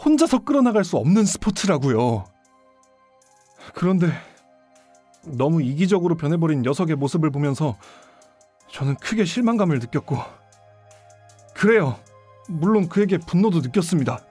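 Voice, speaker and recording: Wronged male athlete, audio drama, pleading, husky, highly animated pitch, music behind. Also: normal speed, pauses often. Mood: bitter, frustrated. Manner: pleading, emphatic protests. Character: indignant male baseball player accused of murder.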